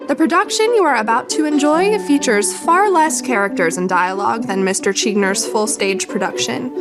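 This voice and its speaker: A woman's voice: a little high, moderate pace. A woman announcer reading a scripted notice before a radio play. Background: loud instrumental music.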